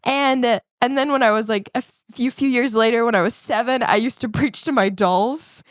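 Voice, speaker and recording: A woman in her thirties with a New Zealand accent, a podcast guest telling her life story in a chatty, animated way. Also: fairly high pitch, normal speed, no room sound, studio quality. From England, raised in New Zealand. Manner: animated, chatty storyteller using many likes. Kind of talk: personal storytelling.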